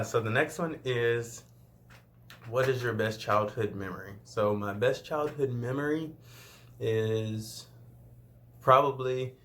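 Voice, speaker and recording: A man in his late twenties from Louisiana talks in a somewhat low voice. He rambles casually through questions about himself, often breaking into laughs and asides, as if talking to a camera mic in his room. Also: a bit bright. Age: 20s. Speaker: vlogger. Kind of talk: casual first-video Q&A.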